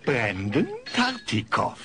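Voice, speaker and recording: An actor playing an effusive, flattering comedy club emcee in an audio drama. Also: male voice, medium pitch, slow pace, exaggerated voice. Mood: showy. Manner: showy, flattering. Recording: noisy cassette with loud audience.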